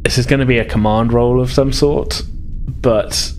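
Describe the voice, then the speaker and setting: A man's voice: medium pitch, steady tone, moderate pace, darkish tone, faint music behind. A man speaking as game master of a tabletop game, casually narrating and thinking aloud in a hesitant way. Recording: clearly noisy background.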